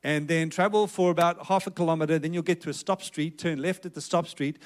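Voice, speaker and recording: A man voicing a newly arrived South African man giving directions, with a South African accent, speaking haltingly with fillers. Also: a little high, steady tone, close to an everyday voice. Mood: helpful.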